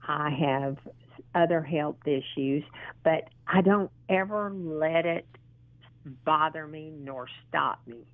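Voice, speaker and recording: A woman in her sixties being interviewed as a guest, speaking in an earnest, encouraging way and often pausing with ums and restarts. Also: low voice, no echo. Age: 60s. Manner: halting, with frequent ums and restarts. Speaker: interview guest, model and actress. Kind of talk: casual phone interview. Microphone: phone. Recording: tinny phone line.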